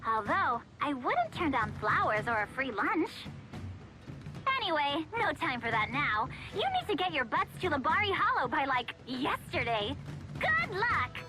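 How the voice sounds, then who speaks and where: A woman's voice: high-pitched, sing-song, measured pace, over music. An actress playing a perky, bossy young woman who hands out jobs in a video game, bubbly and pushy. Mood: chirpy, bossy. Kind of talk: animated video game voice acting.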